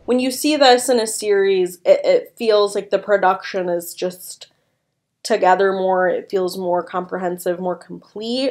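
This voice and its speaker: Casual young woman giving commentary. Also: mid-range voice. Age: young adult. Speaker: video essayist. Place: small furnished room indoors.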